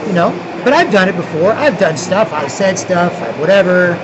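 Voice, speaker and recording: A man's voice: high voice, lively intonation, moderate pace, muffled recording, noisy background. A Canadian man from Toronto vlogging outdoors, rambling, restarting often and tagging lines with "right?". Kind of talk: rambling street vlog monologue.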